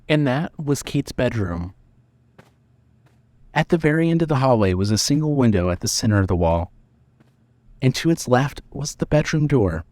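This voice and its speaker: Measured male story narrator, dry sound. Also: mid-range voice, no echo. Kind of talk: scripted dramatic story narration.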